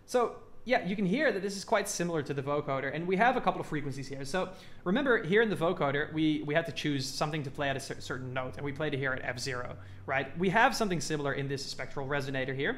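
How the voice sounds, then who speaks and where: A man hosting a music production tutorial, explaining software step by step in an informal, casual way. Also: fairly high pitch, quick pace, slight background noise.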